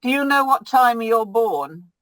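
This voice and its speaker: A woman's voice: a little high, animated, unhurried, fairly bright tone, dry recording. A woman astrologer answering audience questions over a video call, hesitant, with many ums and restarts. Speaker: guest astrologer.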